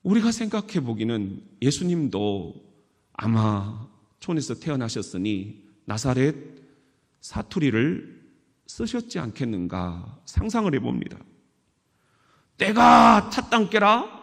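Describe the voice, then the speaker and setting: A man's voice: medium pitch, sing-song, measured pace, mellow. A middle-aged male pastor giving a sermon in a church, speaking candidly and with self-deprecating stories. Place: church sanctuary. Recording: reverberant church hall.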